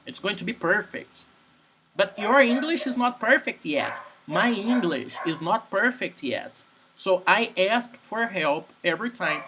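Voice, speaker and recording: A man's voice: sits high, lively intonation, measured pace. Man, noisy background. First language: Portuguese. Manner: keeps checking "Understand?", frequent restarts. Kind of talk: casual advice-giving chat.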